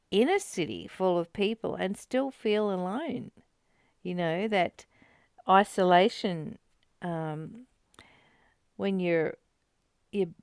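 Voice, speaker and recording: Smooth-voiced, lower-pitched woman. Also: a little mellow, no room sound, clean studio sound. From Australia. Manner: conversational and personal, addressing a partner. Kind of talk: reflective spiritual discussion.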